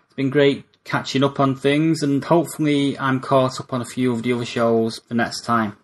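A man's voice: medium pitch, level tone, moderate pace. A man hosting an interview show, hesitant with many ums and restarts. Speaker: podcast host.

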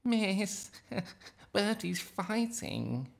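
A man's voice: low-pitched, slow, gravelly, heavily acted. A smug know-all schoolboy from a children's story, voiced by a man. He whines and gloats at his rival, stretching his words with self-satisfaction. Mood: whining. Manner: whiny, gloating. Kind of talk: children's audiobook character voice.

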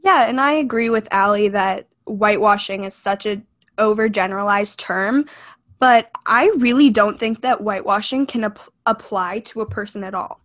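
A woman's voice: medium pitch, moderate pace, fairly bright tone. A young woman from California co-hosting a podcast, speaking in an earnest, reflective way with frequent "like" and "you know". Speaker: podcast co-host. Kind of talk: casual chat.

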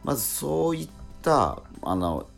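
Male radio host, lively swooping intonation, husky voice, casual and slightly halting talk, soft music underneath. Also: medium pitch. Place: radio studio.

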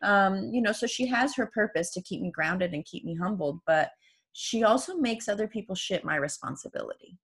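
A woman's voice: medium pitch, expressive, fairly quick, fairly bright tone, breathy edge. A woman in her forties whose first language is Farsi, hosting a casual talk and laughing often as she speaks. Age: 40s. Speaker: author and podcast host. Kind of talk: casual conversational interview.

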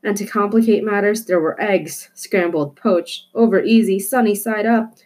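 A woman reading a children's novel aloud as an expressive storyteller. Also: mid-range voice, moderate pace. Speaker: storybook reader. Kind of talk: expressive children's book narration.

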